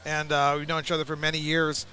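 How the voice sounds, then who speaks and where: A man's voice: on the high side, completely flat delivery, fast talker, bright, forceful tone. A man hosting a podcast interview, effusive and complimentary, talking in a loose, rambling way. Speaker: podcast host. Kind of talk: casual interview.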